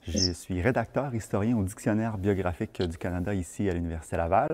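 A man with a low voice introduces himself in a short interview clip, pausing briefly mid-sentence. The clip is played back and sounds distant from the mic. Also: darkish tone. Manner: brief self-introduction with a hesitation. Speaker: historian.

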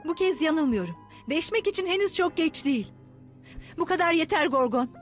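Proud cartoon sorceress, really high voice, dramatic, faint music behind. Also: female voice, lively intonation, brisk. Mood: defiant. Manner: dramatic, emphatic. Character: repentant sorceress, proud, earnest and defiant. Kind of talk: dubbed cartoon drama acting.

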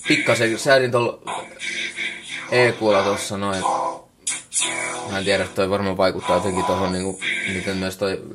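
A Finnish man with a low voice walks through music software in a casual, off-the-cuff way. He talks colloquially and mutters little asides to himself as he works. He is picked up by a camera mic indoors at a computer. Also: level tone, long pauses. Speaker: music production tutorial maker. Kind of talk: casual unscripted software walkthrough. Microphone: camera microphone.

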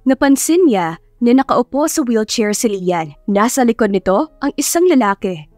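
A Filipino woman narrates a dramatic audiobook in a fairly high voice. The sound is dry, with faint music underneath. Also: very animated, moderate pace, a little mellow, no room sound. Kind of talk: scripted dramatic storytelling.

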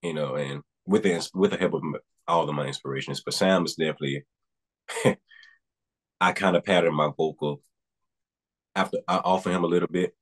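A man's voice: low voice, steady tone, fairly quick, long pauses. A young man, a guest singer, talking earnestly over a video call from a room at home, rambling a bit with restarts. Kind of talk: casual interview. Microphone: video call webcam.

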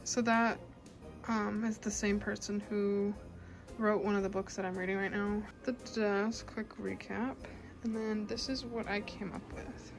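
A woman's voice: medium pitch, steady tone, measured pace, over music. A young adult woman chatting casually as a vlogger in a bedroom at home. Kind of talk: casual vlog chat.

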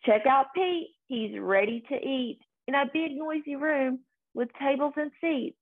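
A young woman reads a children's story aloud. Her voice sits high and she takes her time, with a sing-song lilt made for little listeners. The sound is thin and narrow, much like a phone. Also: measured pace. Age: young adult. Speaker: story reader. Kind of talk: children's picture book read-aloud.

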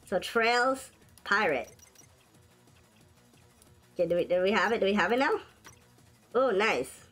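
Raspy-voiced excitable gaming host. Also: female voice, medium pitch, measured pace, long pauses. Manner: excitable, shrieks and laughs at wins and deaths. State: sick, sore throat. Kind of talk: casual gameplay commentary. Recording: faint game music behind.

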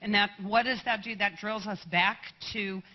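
A woman veterinarian on a conference panel, making her points in an emphatic, forceful list. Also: medium pitch, steady tone, moderate pace. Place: conference hall stage. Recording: slightly muffled hall audio.

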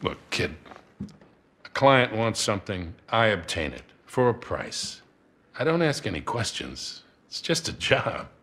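An actor playing a gruff, wry middle-aged American crook in a naturalistic video game scene, with a folksy, unhurried manner. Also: male voice, fairly low pitch, sing-song, a bit bright, full character voice. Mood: defensive, dry.

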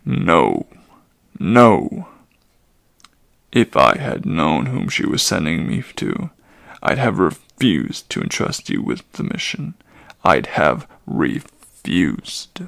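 A man voicing a very old, weary male centaur with a deep, gravelly voice, pleading slowly and heavily in a dramatic storybook reading. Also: wildly swooping pitch, fairly bright tone, no echo, studio quality, full character voice. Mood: horrified. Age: older.

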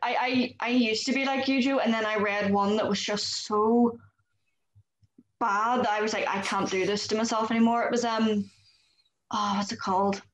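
A woman's voice: mid-range voice, echoey room, a little hiss or hum, poor audio. A young Northern Irish woman hosting a casual panel chat over a video call, chatty with lots of "like". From Northern Ireland. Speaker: host. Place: small room indoors.

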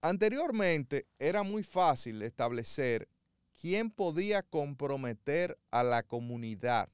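Male legal commentator, fairly high voice, very clear and crisp, dry close sound, didactic, measured delivery. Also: very animated, dry recording. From Dominican Republic. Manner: measured, didactic, with frequent fillers and restarts.

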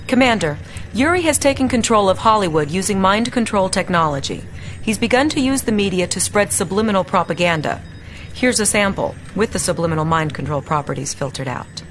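An actress playing a serious young lieutenant who delivers formal, clipped briefings in a video game. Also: female voice, medium pitch, lively intonation, brisk, natural voice. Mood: composed. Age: young adult. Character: crisp, serious young female military intelligence lieutenant. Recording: noisy game sound behind voice.

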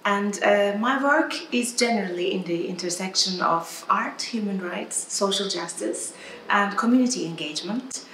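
A woman's voice: mid-range voice, normal speed, a bit bright. A middle-aged woman with a Turkish accent, moderating a panel as a curator and searching for her words. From Turkey. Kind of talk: reflective panel discussion. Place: gallery room, indoors. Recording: echoey room, faint background noise.

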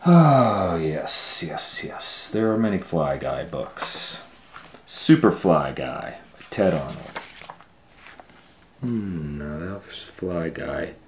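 Storytime host: man, very low voice, emphatic drawn-out read-aloud delivery, roomy echo. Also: sing-song, a little hiss or hum. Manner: drawn-out emphatic phrasing with asides. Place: indoor room, reading a book on a table. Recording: roomy, echoey indoor sound.

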